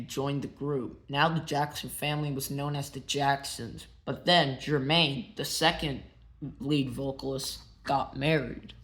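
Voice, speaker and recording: A man narrating a biography as a read-aloud, telling it simply and in measured phrases. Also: a little high, fairly bright tone. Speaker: narrator and show host.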